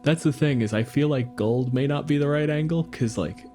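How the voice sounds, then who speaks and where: A man's voice: fairly high pitch, moderate pace, warm tone, faint music behind. A man playing a tabletop game, chatty and opinionated, with dry quips. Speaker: player. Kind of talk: casual tabletop play chat.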